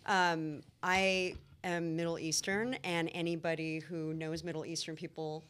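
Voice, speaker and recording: A woman's voice: low-pitched, little pitch movement, a little slow, fairly bright tone, smooth. A middle-aged woman from Egypt, talking casually as a guest chef, chatty with frequent ums and laughs. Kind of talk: casual interview chat.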